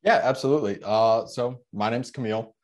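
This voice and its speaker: A man in his twenties from the Chicago suburbs, whose first language is Polish, telling his story as a podcast guest in a relaxed way with frequent fillers. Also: mid-range voice, a little fast. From Chicago suburbs, USA. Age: 20s. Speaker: guest, veteran and real estate investor. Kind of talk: podcast interview.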